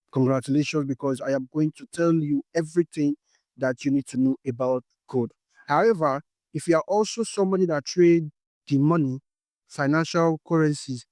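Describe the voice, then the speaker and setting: A man's voice: fairly high pitch, dark tone, no echo. A Nigerian man with a Nigerian accent, teaching a beginners' course in a step-by-step way and checking in with "okay?" after his points. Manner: explains step by step, tags lines with "okay?". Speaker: forex trading instructor. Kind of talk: explanatory course lesson.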